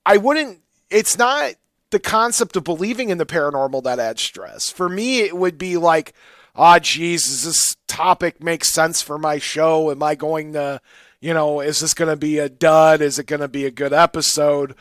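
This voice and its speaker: A man's voice: fairly high pitch, moderate pace, a bit bright, dry recording, studio quality. A man hosting a casual call-in radio talk show, bantering and emphatic, often interrupting himself. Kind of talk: casual call-in show chat about the paranormal.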